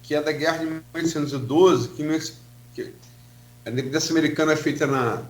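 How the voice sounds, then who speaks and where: Polite Brazilian radio host, higher voice. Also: male voice, fairly quick. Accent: Brazilian Portuguese. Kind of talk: radio interview.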